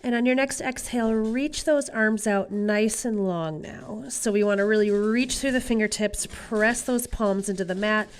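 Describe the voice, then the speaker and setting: A woman's voice: medium pitch, moderate pace, few pauses, clear, resonant tone. A woman yoga instructor getting over a cold, guiding a class in a casual, reassuring way. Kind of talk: guided exercise class instruction.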